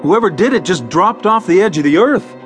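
An actor playing a bitter, aggrieved small rancher in despair in an audio western. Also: male voice, high voice, lively intonation, brisk, faint music behind. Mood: discouraged.

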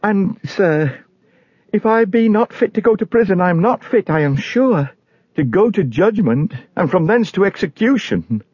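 A man's voice: high-pitched, animated, normal speed, a little mellow, husky, no room sound, highs cut off, voice pushed up. A man voicing an earnest pilgrim in a storybook, stammering, emotional and imploring. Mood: anguished, fearful. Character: anguished, pleading pilgrim man, earnest and fervent.

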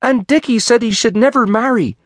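A man's voice: very high voice, fairly quick, dry recording, clean studio sound, pitched above the performer's own voice. Eager little country boy. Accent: rural English West Country. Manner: piping, eager. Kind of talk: audiobook character voice.

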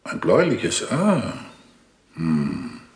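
A sceptical forensic doctor in an audio drama, with a lowish, very animated male voice. He hems and mutters his doubts in a reverberant room with faint music underneath. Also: exaggerated voice. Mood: hesitant scepticism. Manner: halting, muttering, bemused. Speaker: actor as police doctor. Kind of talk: German audio drama acting.

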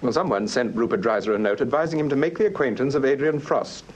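An actor playing a calm, probing gentleman detective in a British radio mystery, with Received Pronunciation and measured, urbane questioning. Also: mid-range voice, husky, close to an everyday voice. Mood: probing, composed. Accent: English Received Pronunciation. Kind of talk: British radio drama acting.

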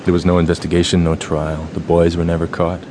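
An actor playing a solemn, ominous fraternity leader in a radio drama, with a slow theatrical and commanding delivery. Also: male voice, deep voice, completely flat delivery, background noise, lightly acted. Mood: ominous, hushed. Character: solemn, ominous fraternity leader telling ghost tales and giving orders. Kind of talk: dramatic radio play acting.

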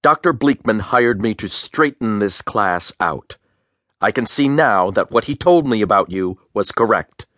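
A man's voice: fairly low pitch, expressive, unhurried, fairly bright tone, no room sound, studio quality. A man playing a cold, strict male schoolteacher with stern, clipped authority in a children's audiobook. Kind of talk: full-cast children's audiobook acting.

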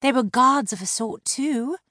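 A woman's voice: sits high, unhurried, pushed and bright, no room sound, clean studio sound, voice pushed up, heavily acted. A woman voicing a proud, prickly adult mermaid who insists on being treated with respect. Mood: defiant. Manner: indignant, crisp.

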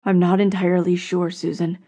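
A woman's voice: on the low side, flat delivery, a little fast, dark tone, natural voice. A woman narrator voicing a weary, wry, long-lived man in an audiobook story. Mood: dark.

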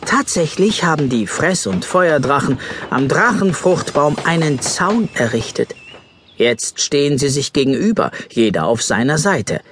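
A man's voice: fairly high pitch, very animated, a little slow, fairly bright tone, a little hiss or hum. A man narrating a scripted children's story expressively.

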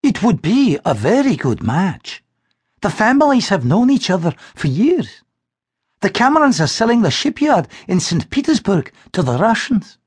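A male narrator voicing a gentle middle-aged mother who speaks tenderly, slowly and soothingly. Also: high-pitched, very animated, husky, voice pushed up, heavily acted.

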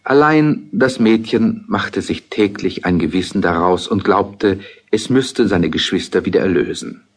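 A husky-voiced man narrating a fairy tale in a measured, storytelling manner. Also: sits low.

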